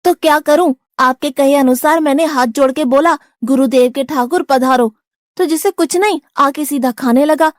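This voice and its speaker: A woman's voice: high-pitched, normal speed, no echo, studio quality, close to an everyday voice. A woman voicing a stubborn, hungry young disciple. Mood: indignant. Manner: blunt, indignant, complaining. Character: naive, stubborn young male disciple who loves food. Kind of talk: Hindi cartoon story dubbing.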